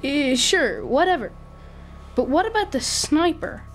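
A taunting young boy, with a high voice that swoops up and down. He needles with smug, false concern in an audio drama, faint music underneath. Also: sing-song, measured pace. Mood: needling. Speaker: actor.

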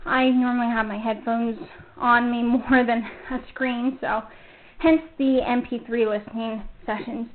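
A woman's voice: fairly high pitch, level tone, measured pace, slight background noise. A woman hosting a casual solo fan podcast, talking in a gushing, enthusiastic fangirl manner. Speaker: podcast host. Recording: muffled phone-like narrow-band sound.

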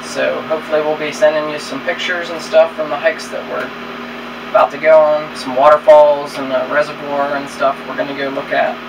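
A man's voice: fairly high pitch, little pitch movement, fairly quick, creaky, noisy background. A man in his thirties chatting casually in a travel vlog, easygoing and rambling with fillers, filmed in a small camper and on a trail. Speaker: travel vlogger. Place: inside a small camper, then outdoors on a hill trail.

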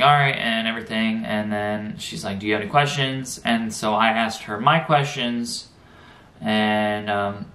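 Halting young American man in an echoey room. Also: on the low side, pushed and bright. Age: young adult, around 20. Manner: halting, pausing often mid-sentence. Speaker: vlogger. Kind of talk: casual reaction vlog, recounting an experience. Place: small bedroom, indoors. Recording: roomy, echoey bedroom sound.

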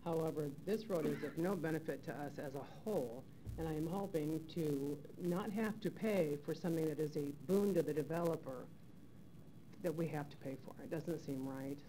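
A middle-aged woman, a resident making a clearly worded public comment at a podium microphone in a council chamber. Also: sits low, mellow, rough recording. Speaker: resident speaking at a public hearing. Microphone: gooseneck podium microphone. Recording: faint background noise, public meeting room.

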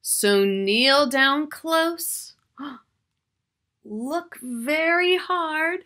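Animated, bright-voiced woman reading to children. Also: high voice. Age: middle-aged. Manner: expressive, drawn-out key words with questions and asides to the listener. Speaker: children's author reading her book. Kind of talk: animated picture-book read-aloud for young children. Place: small furnished room at home, indoors.

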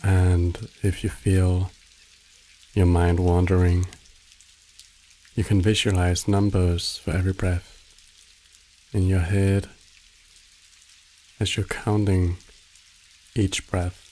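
A man narrating a guided meditation in a soothing, measured way, giving short instructions with long pauses. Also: low-pitched, little pitch movement, dark tone, low-quality recording.

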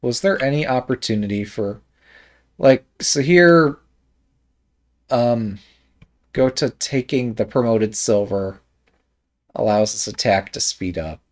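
A man reviewing a board game, thinking aloud in a hesitant, musing way with many pauses and trailing thoughts, with a slight Midwest American accent. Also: medium pitch. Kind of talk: casual game commentary while thinking aloud.

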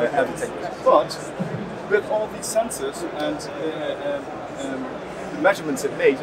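A man in his thirties explains a product at an exhibition stand, his voice a touch high. He trips over and repeats his words as he goes. The recording carries heavy noise from a big indoor hall. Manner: halting, many repeated word restarts. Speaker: company representative. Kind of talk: product demonstration at a trade stand.

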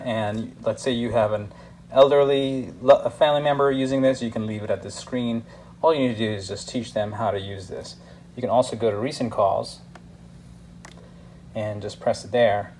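A man with an average-pitched voice talks through a hands-on product demonstration. He is casual and instructional, going step by step. Faint room noise sits behind his voice. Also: steady tone, normal speed, a little mellow, poor audio. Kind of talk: hands-on tutorial narration, casual. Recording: clear background noise, room ambience.

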